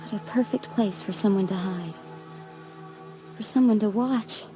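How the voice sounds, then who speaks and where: Mellow, uneasy teenage girl, played by a woman. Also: mid-range voice, measured pace, soft background music, lightly acted. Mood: frightened. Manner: hesitant, apprehensive. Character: uneasy, withdrawn teenage girl in a horror story. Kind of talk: horror audio drama acting. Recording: faintly hissy old cassette.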